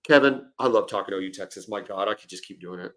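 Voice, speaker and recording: Lower-voiced man in his forties telling stories. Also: quick pace, fairly bright tone. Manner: eager storyteller, jumps in and interrupts. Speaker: podcast co-host. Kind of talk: casual sports chat.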